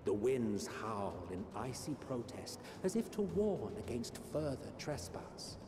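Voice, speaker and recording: A man's voice: medium pitch, sing-song, a little slow. A man acting as a solemn storyteller, giving dramatic scripted narration of a fantasy journey. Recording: roomy long reverb over noisy ambience.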